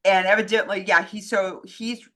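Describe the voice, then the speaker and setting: A woman's voice: medium pitch, fairly even intonation, moderate pace, fairly bright tone. A woman co-hosting in a casual chat, speaking in a hesitant, slightly apologetic way. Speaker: co-host relaying audience questions and comments.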